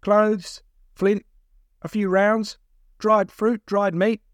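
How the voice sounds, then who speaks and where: A man's voice: sits high, level tone, very slow, smooth, no echo, clean studio sound, voice pushed up. A man voicing a big, jeering captor who fires off questions like a mocking quizmaster in an audiobook. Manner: taunting, mocking quizmaster delivery. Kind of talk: audiobook character voice.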